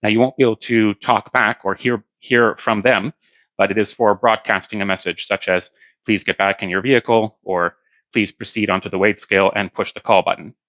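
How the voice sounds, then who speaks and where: A man with a fairly low voice and a crisp, forceful edge gives an instructional product demo. He explains each step plainly and practically. The sound is very dry and a little band-limited. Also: fairly even intonation, brisk, dry recording, dull audio. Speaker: product demonstrator. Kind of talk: hands-on instructional demonstration.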